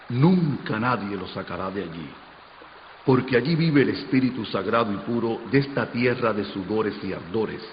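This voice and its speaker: A man's voice: medium pitch, natural voice. A man playing a storyteller in a radio docudrama, telling a folk legend in poetic, emphatic lines. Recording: muffled, noisy playback in echoey hall.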